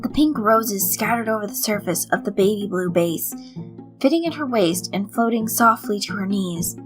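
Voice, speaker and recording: A woman reading a scripted story as narrator, in an expressive storytelling style. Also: mid-range voice, moderate pace, poor audio. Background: light background music.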